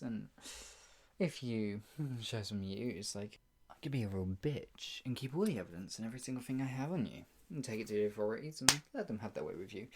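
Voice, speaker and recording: A performer playing a sadistic, obsessive yandere captor in an improvised ASMR roleplay, creaky, taunting and drawn out, slipping between talk and whispers. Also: medium pitch, slight background noise, lightly acted. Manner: taunting, drawn-out delivery with frequent giggles and whispers.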